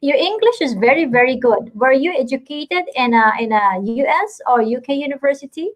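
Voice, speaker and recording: A woman's voice: high-pitched, expressive, fairly quick. A Filipina woman with a Filipino accent hosting a casual online talk show, chatty and gushing as she greets viewers. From Philippines.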